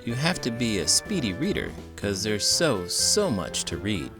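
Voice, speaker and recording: A man's voice: on the low side, huge pitch swings, a little slow. A man hosting a children's storybook read-aloud, theatrical and full of big emotion, stretching words for effect. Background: music.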